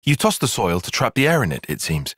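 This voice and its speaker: A brainy young british soldier in a game, formal wording, bit husky, very up and down pitch, dry close sound. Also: male voice, wildly swooping pitch, a little fast, fairly bright tone, no echo, full character voice. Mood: measured, analytical. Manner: articulate, formal, analytical phrasing. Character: earnest, cerebral young male soldier and tactician. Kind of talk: scripted video game cutscene acting.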